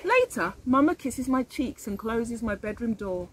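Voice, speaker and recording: Animated woman teacher reading a storybook. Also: fairly high pitch, unhurried. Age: middle-aged. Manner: animated, addressing children with questions. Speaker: early years teacher. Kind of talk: expressive read-aloud of a children's picture book. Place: outdoors in a leafy garden. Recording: faint outdoor background noise.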